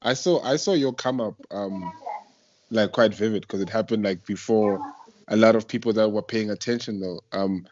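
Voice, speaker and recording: A man's voice: fairly low pitch, normal speed, a little mellow, slight background noise. A young South African man hosting a casual radio interview, speaking in an informal, slangy way. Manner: informal, slangy, effusive reactions. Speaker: radio show host. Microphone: internet voice call. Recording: muffled lo-fi internet call.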